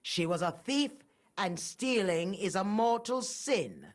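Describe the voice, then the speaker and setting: A middle-aged religious fanatic in a medieval video game. She is haughty and sanctimonious, sneering with defiance. Her pitch swings widely as she condemns without remorse. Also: animated, takes it slowly. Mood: cold contempt. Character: pious, murderous mother, haughty, fanatical and unrepentant. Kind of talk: dramatic video game acting.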